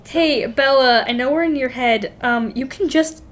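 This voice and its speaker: A woman's voice: high-pitched, moderate pace. A young woman co-hosting a casual podcast, sardonic and slangy, acting out mock quotes and laughing as she talks. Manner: laughs while talking. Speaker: podcast co-host. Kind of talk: casual chatty book discussion. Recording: faint background noise.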